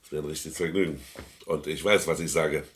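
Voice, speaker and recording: An actor playing a seasoned, discreet art appraiser in a German crime radio play, deliberate, polite and soothing. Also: male voice, steady tone, a little hiss or hum. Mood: courteous, tactful. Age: middle-aged or older. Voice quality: very deep, husky.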